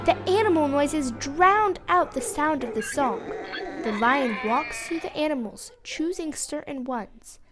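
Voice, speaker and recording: High-voiced young female story narrator. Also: animated, a little slow, music in the background.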